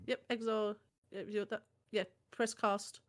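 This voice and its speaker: A woman's voice: medium pitch, flat delivery, moderate pace. A woman with a German accent, German native, co-streaming a card game in a chatty, agreeable way, often affirming her partner. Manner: chatty, agreeable, frequent "yeah, exactly" affirmations. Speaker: guest co-streamer. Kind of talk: casual gameplay chat.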